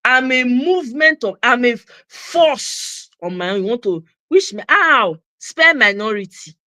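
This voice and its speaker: A woman's voice: on the high side, expressive, unhurried, pushed and bright, dry recording, clean studio sound. A Nigerian woman hosting a commentary channel, speaking in a passionate opinion monologue and repeating her points for emphasis, with Pidgin phrases in her accent.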